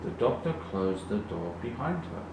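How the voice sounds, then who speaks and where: A man reading a children's picture book aloud in a deliberate, animated way, with emphasized sound words. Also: lowish voice. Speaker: storybook reader. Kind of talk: expressive children's read-along narration. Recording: very echoey, distant, noisy room.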